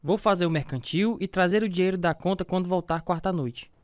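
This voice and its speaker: A man's voice: sits high, a little fast, dry recording, clean studio sound, close to an everyday voice. A man playing a devout, trusting preacher who tells his life story in an earnest radio drama. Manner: earnest, pious. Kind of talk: dramatized radio testimony with narration and dialogue.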